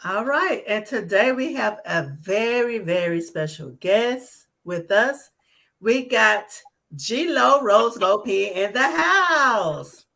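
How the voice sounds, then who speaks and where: A woman hosting a live online talk show, giving energetic welcomes and shout-outs. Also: fairly high pitch, slow, vocal fry. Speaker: host.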